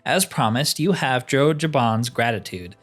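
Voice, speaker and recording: A man's voice: mid-range voice, expressive, measured pace, a bit bright, soft background music. A man reading the part of a courteous, scholarly cat-man spell trainer, speaking formally in game dialogue. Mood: pleased, eager. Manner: formal, speaks of himself in third person.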